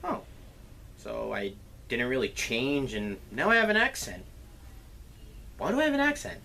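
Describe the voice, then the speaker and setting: A man hosting his own comedic movie review show, retelling a plot with crude, animated energy and swearing. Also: medium pitch, moderate pace, gravelly, slight background noise. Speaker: film reviewer, show host.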